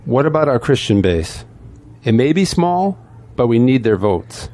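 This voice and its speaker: A man's voice: mid-range voice, big pitch swings, a little slow, mellow, no room sound. A man playing a weary veteran city councilman in an audio drama, subdued and conceding. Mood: resigned, concerned.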